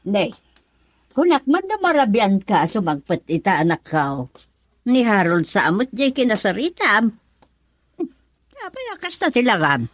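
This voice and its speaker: Woman acting a lively, teasing Ilocano mother. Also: medium pitch, unhurried, pauses often. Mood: coaxing. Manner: exclamatory, chuckly. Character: jolly, teasing older mother, chatty and fussy. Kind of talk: radio drama acting.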